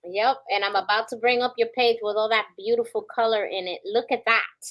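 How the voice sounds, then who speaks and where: A woman with a fairly high voice co-hosts a conversation. She is chatty and encouraging, and often backs the guest up with quick affirmations. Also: steady tone, dull audio. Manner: chatty, affirming with frequent "mm-hmm". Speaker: co-host. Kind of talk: interview conversation.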